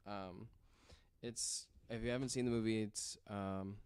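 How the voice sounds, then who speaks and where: A man's voice: on the low side, steady tone, unhurried, a little mellow, clear, resonant tone. A man telling a story casually as a podcast guest, in loose, informal wording.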